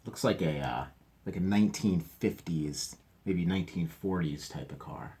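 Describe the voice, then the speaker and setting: A man's voice: low-pitched, normal speed, dark tone, slightly rough. A male teacher reading a picture book aloud to children, stopping for chatty asides and sometimes dropping to a whisper.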